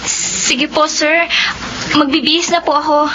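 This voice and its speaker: Radio drama ingenue: high-pitched polite, hopeful young woman, deferential, dull noisy audio. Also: a little slow. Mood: shy, polite. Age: young adult. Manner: deferential, earnest. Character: hopeful, polite young aspiring beauty queen and model. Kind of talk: Filipino radio drama acting.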